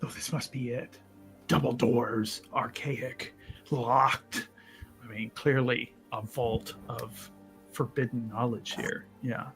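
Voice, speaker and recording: A man playing a polite, halting adventurer with a scholarly turn of phrase in a tabletop game. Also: medium pitch, fairly even intonation, fairly bright tone, faint music behind, voice pushed down, low-quality recording. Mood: intrigued.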